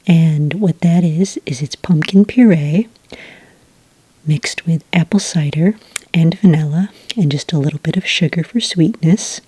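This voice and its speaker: A woman plays a friendly cafe witch in an ASMR roleplay. Her voice is low, mellow and breathy, mostly a soft whisper. She explains things gently and patiently, close and dry. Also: unhurried, dry recording. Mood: patient, gentle. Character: friendly helpful witch serving at a magical cafe. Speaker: cafe server taking orders.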